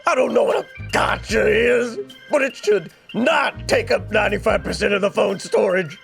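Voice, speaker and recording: A man voicing a grumpy, bitter, muscular barkeep in an anime parody, gruff, exasperated and foul-mouthed. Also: high-pitched, normal speed, a bit bright, soft background music, exaggerated voice. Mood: gruff grumbling.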